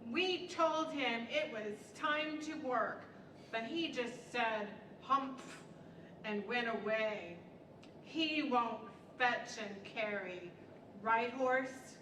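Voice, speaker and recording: A woman's voice: a little high, drawn-out words, fairly bright tone. A woman playing a plaintive working animal, whining and exaggerated in a radio theater style. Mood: complaining. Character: plaintive working horse, eager to tattle. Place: large library event room, live stage. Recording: distant, echoey live hall with faint noise.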